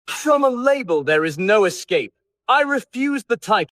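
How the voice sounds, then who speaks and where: A man's voice: sits high, big pitch swings, moderate pace, fairly bright tone, no room sound, clean studio sound, pitched above the performer's own voice. A man voicing a witty, languid English aristocrat in an audiobook, teasing and aphoristic in a theatrical way. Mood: amused, teasing. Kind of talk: audiobook character dialogue.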